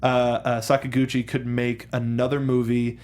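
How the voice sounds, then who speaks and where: An American man hosts a film talk show from a studio. His delivery is casual and conversational, with frequent repeated words and halting restarts as he works through each thought. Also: mid-range voice, monotone, a little mellow. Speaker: host of a film review show. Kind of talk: casual film discussion chat. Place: studio with a control booth.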